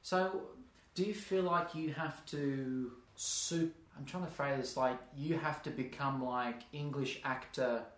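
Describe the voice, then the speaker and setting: A man, in a middle-pitched voice, asks long, rambling questions and keeps hunting for the right phrasing. The recording is roomy and echoey. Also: steady tone, slow. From Australia. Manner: rambling, searching for words. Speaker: interviewer. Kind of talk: casual interview chat.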